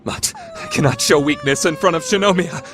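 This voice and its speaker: A man's voice: on the high side, wildly swooping pitch, normal speed. A man playing a proud but panicky teenage student council president in an anime radio play, melodramatic in delivery. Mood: panicked, exasperated. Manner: melodramatic, swinging from grave to yelping. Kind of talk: anime fan dub radio play acting.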